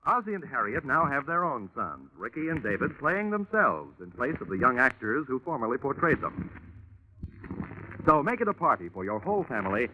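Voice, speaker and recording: A dull-sounding vintage radio recording of an American male announcer. His medium-pitched voice moves in a lively way, polished and upbeat, in 1940s promo style. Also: moderate pace, slight background noise.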